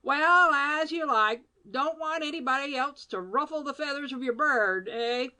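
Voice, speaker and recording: A woman reading an audiobook, voicing a brash, nosy gentleman who talks in clipped, chirpy phrases. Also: high voice, measured pace, pitched above the performer's own voice. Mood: breezy. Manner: clipped, chirpy phrases dropping their subjects. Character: brash chatty middle-aged gentleman, jaunty and nosy. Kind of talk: audiobook character voice.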